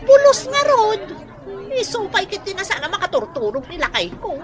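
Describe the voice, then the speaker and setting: A woman with an Ilocano accent playing a fussy, complaining wife in a comedy radio drama, whiny and expressive as she nags. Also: extremely high pitch, normal speed, some chatter behind, heavily acted. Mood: aggrieved.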